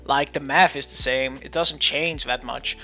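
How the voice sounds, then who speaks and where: A Danish man who is not a native English speaker, streaming casual game-dev commentary in a halting way full of restarts. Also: medium pitch, fairly even intonation, bright, forceful tone, soft background music. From Denmark. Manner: halting, many restarts and fillers. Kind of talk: casual live-stream commentary while coding. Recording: muffled lo-fi stream audio.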